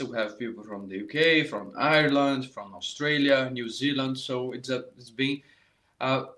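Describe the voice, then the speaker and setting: A Brazilian-accented man, middle-aged, talks straight to his online viewers in a voice of ordinary middle pitch. He is a saxophone teacher, halting and repeating words as he goes, with Portuguese as his first language. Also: a bit bright. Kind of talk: live online masterclass talk.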